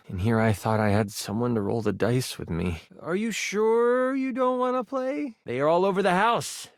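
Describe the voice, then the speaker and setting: A man playing a friendly, eager game master character in a video game, with expressive, theatrical storytelling delivery. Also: on the high side, dry recording, studio quality. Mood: disappointed, then eager, nervous, then friendly, playful. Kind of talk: theatrical tabletop game narration.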